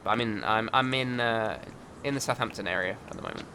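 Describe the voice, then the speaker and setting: A man from Wales co-hosting a gaming livestream, chatting quickly and casually. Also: on the low side, noisy background. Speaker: livestream co-host. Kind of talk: casual chat.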